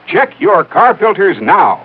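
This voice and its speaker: A man's voice: sits high, sing-song, unhurried, breathy edge. An American man reading a 1950s radio commercial as an announcer, in a folksy, emphatic sales style. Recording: tinny narrow-band old radio recording.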